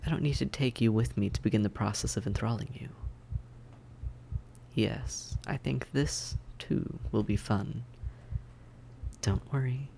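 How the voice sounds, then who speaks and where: A man playing a suave, predatory vampire in an audio roleplay, speaking in deliberate, theatrical phrases with teasing condescension. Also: medium pitch, creaky, darkish tone, a little hiss or hum, close to an everyday voice. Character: suave, predatory male vampire toying with his prey. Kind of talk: scripted roleplay audio drama monologue.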